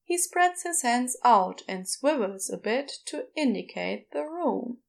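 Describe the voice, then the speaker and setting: A woman's voice: a little high, sing-song, measured pace, vocal fry. A woman narrating a scripted story in an audiobook style.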